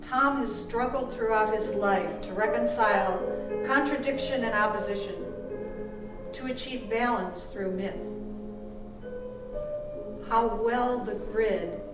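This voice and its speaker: A woman author reading her own literary text aloud at a live event, with measured, deliberate phrasing. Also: a little high, loud music behind the voice. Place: live performance event in a room. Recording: roomy, distant microphone with background noise.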